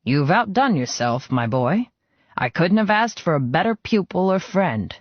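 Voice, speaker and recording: A man's voice: sits high, very animated, unhurried, no echo, highs cut off, pitched above the performer's own voice, exaggerated voice. A man voicing an older biker club boss and fond mentor, earnest, sweary and sentimental, in an audiobook. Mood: moved, proud.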